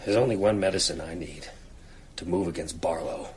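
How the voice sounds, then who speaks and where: An actor playing a shaken but determined vampire hunter in a radio drama, speaking tersely and intensely. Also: male voice, sits low, fairly quick, darkish tone, slight background noise, close to an everyday voice. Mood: grim resolve.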